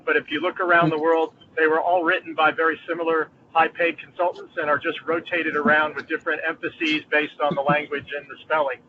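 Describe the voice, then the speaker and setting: A man with an East Coast US accent, a dean of education, giving fluent, measured, opinionated remarks on a conference call. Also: a little high, level tone. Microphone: phone or conference line. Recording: tinny phone line with faint noise.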